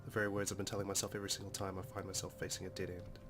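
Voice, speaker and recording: A man reads a game's first-person story aloud. His voice is fairly low and stays within a narrow range, with prominent music behind it. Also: monotone, very fast. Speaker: let's play host reading the game's text. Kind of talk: dramatic reading of first-person story narration. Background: game music.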